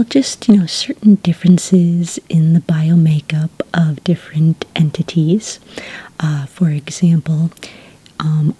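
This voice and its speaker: A friendly witch desk clerk, played by a woman in an ASMR roleplay. Her voice is very breathy and whispered, with a dark, mellow softness. She takes her time, moving slowly through polite, slightly hesitant check-in patter. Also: low-pitched, heavily acted. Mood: patiently explaining. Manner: unhurried, polite, hesitant customer-service patter.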